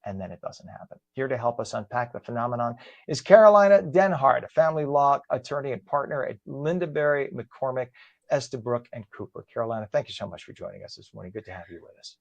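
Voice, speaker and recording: A man's voice: mid-range voice, lively intonation, fast, vocal fry, dry recording, highs cut off. A man, hosting a live morning show from a home studio, talking through traffic and introducing a guest in a chatty, conversational way. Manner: chatty and conversational, frequent fillers.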